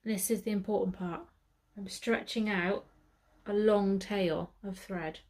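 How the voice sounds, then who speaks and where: A British woman talks through a sewing project at a slow, easy pace. Her voice sits mid-range, casual and chatty, often pausing to correct herself as she demonstrates. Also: medium pitch, fairly even intonation, a little mellow. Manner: chatty, self-correcting, thinking aloud. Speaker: sewing tutor. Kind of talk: step-by-step sewing tutorial.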